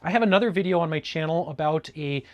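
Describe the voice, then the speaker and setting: A man's voice: fairly high pitch, fast, darkish tone, dull audio. A middle-aged man from Alaska with an American accent, talking casually and informatively as a hobbyist video host in a conversational, explanatory way. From Alaska, United States. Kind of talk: casual informative vlog talk.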